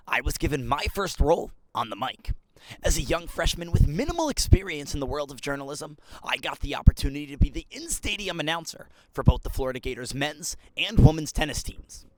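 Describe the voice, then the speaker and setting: A man's voice: a little high, expressive, a little fast, rough recording. A young man in his twenties, a student sportscaster introducing himself with a polished broadcaster delivery. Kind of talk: self-introduction narration.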